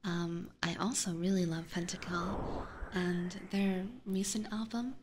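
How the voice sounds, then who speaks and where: A woman speaks slowly and softly into a close ASMR microphone, often slipping into a breathy whisper. She chats casually to her livestream viewers in a gentle, unhurried way, with clear noise in the recording. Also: on the low side, steady tone. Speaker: livestream host. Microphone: close binaural ASMR microphone.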